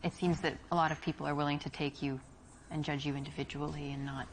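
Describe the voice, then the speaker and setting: An American woman speaks in a very low, steady voice, calm and self-assured in conversation. Her replies are polite but firm, with faint noise in the background. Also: fast talker. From United States. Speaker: actress in a dating game. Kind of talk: acted conversation.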